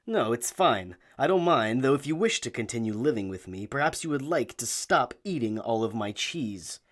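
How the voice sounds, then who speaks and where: Actor playing mild quirky man, medium pitch, lively intonation, polite, dry understatement, audio drama. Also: moderate pace, lightly acted. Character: polite, mild, quirky male host of wandering guests.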